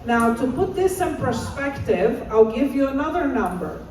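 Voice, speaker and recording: Woman in her 50s, mellow encouraging presenter, heard from a distance in a booming hall. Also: big pitch swings, normal speed, slight background noise. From Romania. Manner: encouraging, asks audience to call out answers. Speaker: assistant professor and presenter. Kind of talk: interactive conference talk. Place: large conference hall. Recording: echoey hall, voice far off.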